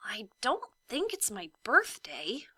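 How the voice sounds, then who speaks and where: A woman's voice: a little high, huge pitch swings, slow pace, bright, forceful tone, slightly rough, dry recording, full character voice. A woman voicing a bored, skeptical young boy in a storybook, musing half to himself. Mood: puzzled.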